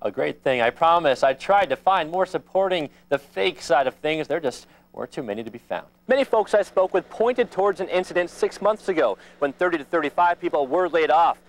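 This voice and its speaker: An American man delivers television news in a fairly high voice. His reporting is measured and emphatic, in a clear broadcast newscaster style. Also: lively intonation.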